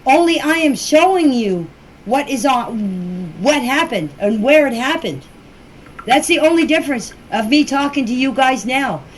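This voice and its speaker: A woman's voice: high-pitched, lively intonation, a little slow, slight background noise, rough recording. An older woman answering questions informally in a plain-spoken, insistent, repetitive way, recorded as an amateur voice clip. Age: older, grandmother. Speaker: relative speaking out about a missing children case.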